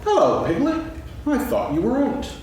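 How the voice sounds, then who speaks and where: Echoey, distant stage recording. Simple-hearted storybook bear played by a man, earnest and musing, highly animated delivery. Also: moderate pace, a little hiss or hum, heavily acted. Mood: cheerful, earnest. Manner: earnest, musing, hums in singsong. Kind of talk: staged dramatic reading of a children's story. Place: stage before an audience, seated reading.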